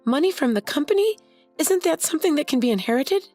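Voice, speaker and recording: A woman voicing a greedy, scheming wife who speaks in a shrill, excitable way in a narrated story. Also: normal speed, husky, faint music behind. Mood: panicked.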